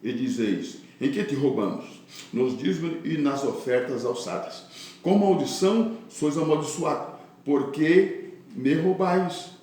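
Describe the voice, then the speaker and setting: A man with a Brazilian accent preaching a Bible teaching sermon in deliberate phrases, with devout interjections of praise. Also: a little high, a little mellow. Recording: very echoey, reverberant room.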